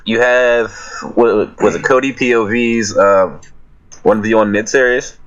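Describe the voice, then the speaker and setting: Blunt, joking man, lowish voice. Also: steady tone, measured pace, lo-fi sound, crowd noise. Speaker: music group member answering fan questions. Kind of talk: casual group Q&A chat.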